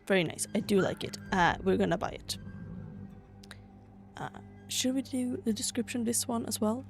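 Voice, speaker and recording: A woman's voice: fairly high pitch, moderate pace, creaky, faint music behind. A woman from Sweden chatting casually over gameplay in a gushing, rambling way, sounding a bit tired. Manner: gushing over cute things. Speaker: gaming vlogger.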